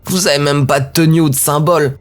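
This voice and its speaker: A man voicing a cocky, mocking male dark elf prisoner in an audio drama. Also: a little high, steady tone, fast talker, voice pushed up, close to an everyday voice. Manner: taunting, sneering, then begging in panic.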